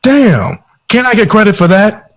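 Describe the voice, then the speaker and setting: A man's voice: high-pitched, sing-song, a little slow, a bit bright, exaggerated voice. A man acting a tired, hard-drinking, short-tempered mill worker and father in an audio drama. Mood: angry, anguished.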